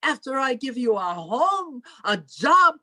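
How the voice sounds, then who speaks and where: A high, slow, theatrical voice for a wealthy, vengeful older spinster , acted by a woman. She is imperious and controlling, with the heavy emphasis of old time radio melodrama. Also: big pitch swings, pushed and bright, full character voice. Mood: bitter, furious.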